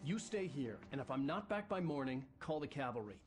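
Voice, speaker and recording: A man's voice: sing-song, a little fast, a little mellow, natural voice. Man playing a brooding, haunted novelist. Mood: desperate. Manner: low, brooding, clipped. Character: troubled male novelist in his thirties, grim and driven. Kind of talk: noir narration and cutscene dialogue. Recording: game audio with music under it.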